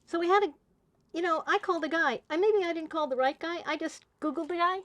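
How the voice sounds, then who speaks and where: Lady with a really high voice, rambling hesitant story, dry close sound. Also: fast talker, a bit bright, no room sound, dull audio. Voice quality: high-pitched voice. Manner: hesitant, meandering. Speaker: comedy show guest. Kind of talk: casual anecdote telling.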